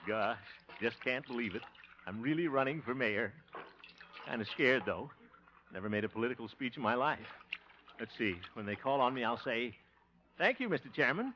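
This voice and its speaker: An actor playing a good-natured, flustered homemaker host who is running for mayor, in a 1950s radio comedy. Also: male voice, mid-range voice, expressive, moderate pace, long pauses, slight background noise, close to an everyday voice. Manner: chuckling, flustered, rehearsing aloud. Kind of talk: 1950s radio sitcom acting. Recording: dull old 1950s radio broadcast.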